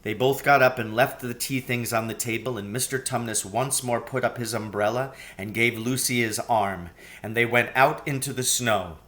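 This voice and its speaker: A man's voice: mid-range voice, moderate pace, sharp tone. A man narrating a children's story from a script, as an audiobook reader.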